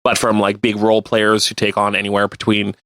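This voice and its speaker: Low-voiced man, casual sports chat. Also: monotone, brisk, a bit bright, dry recording, clean studio sound. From Canada. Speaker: radio co-host.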